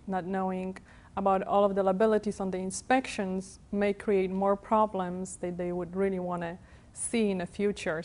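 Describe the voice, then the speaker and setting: Woman, 30s, Polish accent, native Polish speaker, mid-pitched voice, real estate broker interviewed as a guest. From Poland. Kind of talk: interview answers. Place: TV studio.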